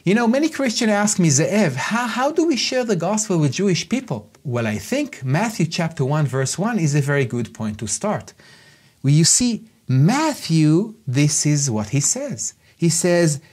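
A man's voice: on the high side, big pitch swings, a little slow, a little mellow, breathy edge. A middle-aged Israeli man, a native Hebrew speaker, gives an earnest scripted Bible lesson to camera in a studio. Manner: earnest, gestures with his hands while he explains. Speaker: Bible teacher. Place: studio in front of a backdrop.

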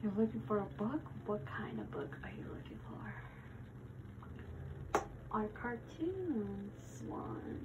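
A woman plays a helpful new librarian in an ASMR roleplay, with a fairly high voice. She talks slowly and softly, hesitating as she goes. The recording has clear background noise. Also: expressive, dull audio.

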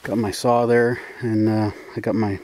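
A man narrating his canoe trip outdoors in a relaxed, rambling way with many fillers, recorded on a camera. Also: on the low side, normal speed, poor audio. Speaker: outdoor vlogger.

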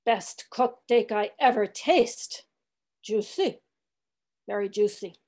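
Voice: sits high, expressive, a little slow, a little mellow. A woman voicing a grumbling, weary ninety-year-old male ship's cook in an audiobook, sullen and testy, speaking in dialect. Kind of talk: literary audiobook character reading.

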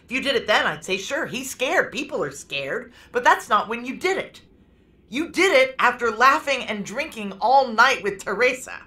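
Fierce desperate lady fugitive in an audio drama, highish voice that jumps around, barks orders. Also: expressive, normal speed, pushed and bright. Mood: bitter, furious. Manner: clipped, profane commands. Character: fierce, bitter, desperate woman on the run.

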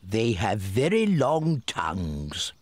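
A man's voice: lowish voice, wildly swooping pitch, slow, a little mellow, no echo, heavily acted. A man voicing a kindly, playful old grandpa pig in a cartoon, chuckling as he talks. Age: older. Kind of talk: cartoon voice acting.

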